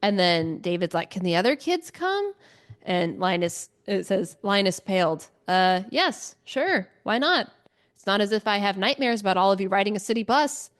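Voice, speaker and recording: A woman with a very animated, mid-pitched voice co-hosts a book club podcast. Her delivery is casual and expressive, with lots of swing in her intonation as she talks. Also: moderate pace. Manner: expressive, giggly, acts out lines in quotes. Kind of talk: casual book discussion chat.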